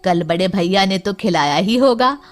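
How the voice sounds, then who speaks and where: A woman's voice: medium pitch, animated, moderate pace, background noise, natural voice. A woman voicing a lazy, stingy village son in a cartoon tale, slyly justifying himself. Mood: sly, scheming.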